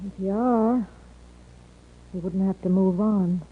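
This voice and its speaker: Weary frontier wife, husky, blunt, rural American accent, muffled old radio western. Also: female voice, medium pitch, slow. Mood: suspicious. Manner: blunt, plain-spoken. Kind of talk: 1950s radio western acting. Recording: muffled, band-limited 1950s radio recording.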